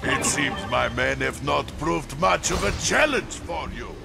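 An actor playing a proud, menacing master hunter in a video game, with a gravelly, grandiose, commanding delivery. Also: male voice, mid-range voice, expressive, a little slow. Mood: boastful, menacing. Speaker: villain character in a video game. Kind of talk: video game cutscene and combat acting. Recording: loud game music behind voice. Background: loud game music.

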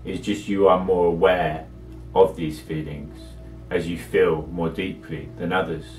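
A man leading a guided meditation with measured, soothing instructions and long pauses, recorded echoey and distant from the microphone. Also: low voice, fairly even intonation, a little mellow, faint music behind.

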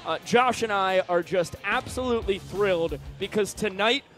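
A man's voice: sits high, moderate pace, a bit bright, faint music behind. A man doing upbeat pregame baseball broadcast commentary from the ballpark booth. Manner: upbeat, chatty, self-correcting. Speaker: play-by-play broadcaster.

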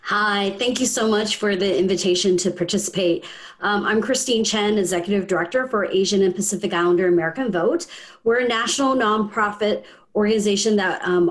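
A woman in her forties, a nonprofit director speaking earnestly as a panel guest on a video call from home, with frequent ums. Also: medium pitch.

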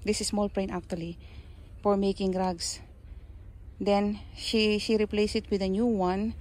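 Slow Filipino woman showing her garden. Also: medium pitch, level tone, darkish tone, poor audio. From Philippines. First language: Kapampangan. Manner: slow, halting, searches for words. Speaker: gardening vlogger. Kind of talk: casual show-and-tell. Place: backyard garden outdoors. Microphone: handheld phone, speaker off camera.

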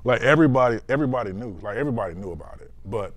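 A man's voice: medium pitch, animated, very fast. A man in his thirties recalling his football days casually in an interview, repeating phrases for emphasis. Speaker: former football player.

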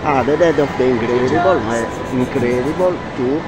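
A man with an Italian accent giving an excitable walking tour of a big shopping mall, mixing Italian exclamations into broken English, recorded on a handheld camera. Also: medium pitch, a little fast, very noisy. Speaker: family vlogger. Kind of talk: casual walking tour commentary. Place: large indoor shopping mall. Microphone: handheld action camera. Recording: loud background music over the voice.

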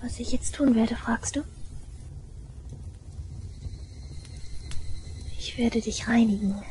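Woman acting an obsessive, high-voiced young shrine maiden. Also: mellow, a little hiss or hum, natural voice, rough recording. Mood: eerily tender. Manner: breathy whispers, slow menacing delivery. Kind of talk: German audio drama acting.

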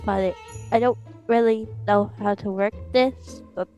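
A woman voicing a scheming, excitable older child in an improvised roleplay, talking in exclamations and conspiratorial rambles. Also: mid-range voice, measured pace, highs cut off, close to an everyday voice. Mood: excited, sneaky. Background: music.

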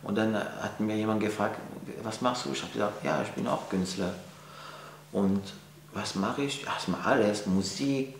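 French guy talking german in an echoey gallery, halting, lots of ums, lowish voice. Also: vocal fry. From France. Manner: halting, many fillers and restarts. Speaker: interviewee, painter. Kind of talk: casual interview answers. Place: art gallery, indoors. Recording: echoey room, faint hum.

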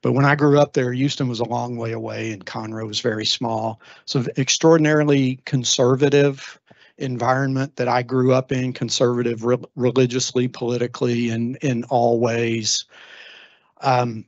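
A man's voice: mid-range voice, little pitch movement, normal speed, no echo, lo-fi sound. Earnest man giving a talk. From Texas, USA. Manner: earnest, thoughtful, many ums and restarts. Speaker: guest lecturer. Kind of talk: informal lecture. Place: library meeting room. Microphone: handheld microphone.

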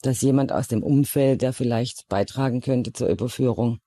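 A man speaking as an official in a measured documentary interview. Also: mid-range voice, warm tone, no echo.

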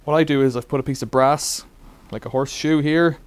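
A man's voice: medium pitch, steady tone, brisk, clean tone. A middle-aged man casually demonstrating a bike repair in a cluttered workshop, rambling with wry asides.

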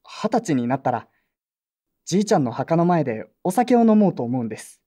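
A woman's voice: deep voice, very animated, brisk, warm tone. A woman playing an earnest, polite teenage boy in a Japanese audio drama. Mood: sincere. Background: background music.

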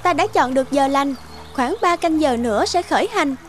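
A proud, cunning young cartoon princess, voiced by a woman, high in pitch and graciously composed. She speaks formally and in measured phrases over faint music. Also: natural voice. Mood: gracious, calm. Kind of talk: cartoon folk tale dialogue.

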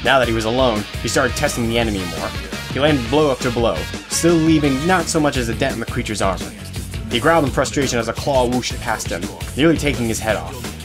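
A man narrating a scripted fantasy story as an audio drama narrator. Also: mid-range voice. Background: guitar music.

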